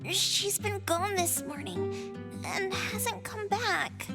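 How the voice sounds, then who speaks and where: A woman voicing a childlike, excitable little girl figure in an anime-style game, exclamatory and bratty. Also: very high-pitched, slow pace, exaggerated voice. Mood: worried. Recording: prominent music behind the voice.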